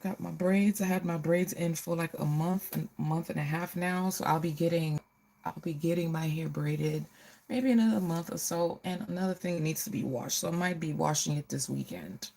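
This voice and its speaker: A woman's voice: sits low, normal speed, vocal fry, warm tone. A middle-aged woman hosting a casual get-ready livestream, chatting to viewers and often repeating her phrases.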